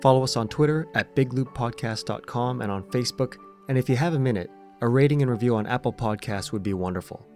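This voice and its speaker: A man's voice: mid-range voice, fast, hardly any pauses, darkish tone, faint music behind. A man hosting a podcast, reading a scripted outro with a song playing under his voice. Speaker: podcast host.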